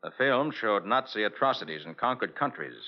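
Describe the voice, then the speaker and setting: An actor playing a confident, genial master detective with an American accent in a 1940s radio drama, on an old broadcast recording. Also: male voice, on the low side, animated, brisk, lo-fi sound. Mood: explaining, assured.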